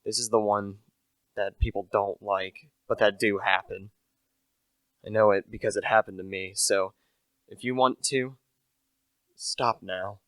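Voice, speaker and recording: Young man playing low-voiced, uneasy humble salesman. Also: fairly even intonation, a little slow, long pauses, fairly bright tone, dry recording. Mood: troubled confiding. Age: teenager to young adult. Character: humble anxious salesman, narrator of a murder tale. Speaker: actor, narrator. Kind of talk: amateur radio play acting.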